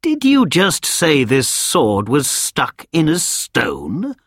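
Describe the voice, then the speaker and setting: Sir Kay: a man playing an excitable proud young knight. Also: a little high, slow pace, husky, no echo, clean studio sound, voice pushed up, exaggerated voice. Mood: eager.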